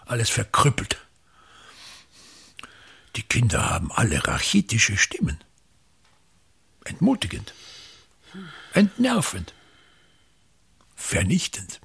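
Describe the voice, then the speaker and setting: Pompous, gravelly theatre tyrant. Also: male voice, fairly low pitch, huge pitch swings, takes it slowly, long pauses, fairly bright tone, heavily acted. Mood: disdainful. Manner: declamatory, contemptuous, repeating words with grand emphasis. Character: pompous, bitter, ranting old actor-manager of a touring theatre. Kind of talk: theatrical German-language radio play monologue.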